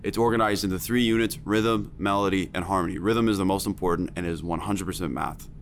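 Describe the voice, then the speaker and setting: A man's voice: low voice, steady tone, brisk, a little hiss or hum. A man working as a music producer and teacher, talking emphatically in a casual chat, with lots of fillers.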